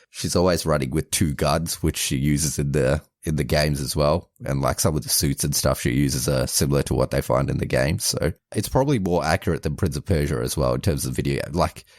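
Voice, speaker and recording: Very deep-voiced man chatting casually. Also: no echo, clean studio sound. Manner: informal, rambling, frequent "like". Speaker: podcast co-host. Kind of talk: casual movie review chat.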